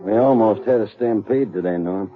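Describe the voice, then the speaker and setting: A man's voice: lowish voice, normal speed, narrow, tinny audio, noisy background, lightly acted. An actor playing a weary, plain-spoken cattleman and dutiful older brother in an old radio western, with a folksy drawl. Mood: weary, restrained. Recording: thin vintage radio recording, faint music.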